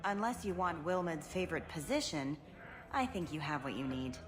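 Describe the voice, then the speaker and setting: A woman's voice: low-pitched, big pitch swings, moderate pace, a crowd in the background. A woman playing a worldly, saucy brothel madam in a video game, with a wry, knowing, familiar manner.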